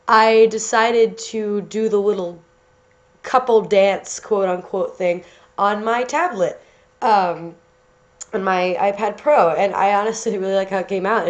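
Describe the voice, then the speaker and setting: A woman with a stuffy nose talking casually and rambling over a drawing video, with lots of fillers and restarts. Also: medium pitch. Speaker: art channel host. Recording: slightly dull lo-fi home recording.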